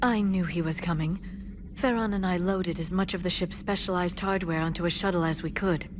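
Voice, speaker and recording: Composed alien scholar, game role, actress: lowish voice, articulate, earnest, audible ambient noise. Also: female voice, steady tone, brisk, lightly acted. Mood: reflective, measured. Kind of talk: video game character dialogue. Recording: clear ambient noise behind game dialogue.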